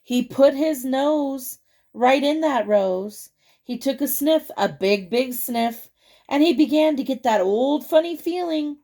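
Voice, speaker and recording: A woman reading a picture book aloud to children, with chatty, expressive asides and questions to the young listener. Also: sits high, takes it slowly, gravelly.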